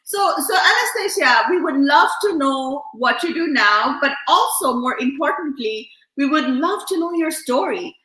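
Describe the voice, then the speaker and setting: Eager woman co-host, high bright voice in an echoey room. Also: expressive, moderate pace, hardly any pauses. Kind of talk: hosting an interview.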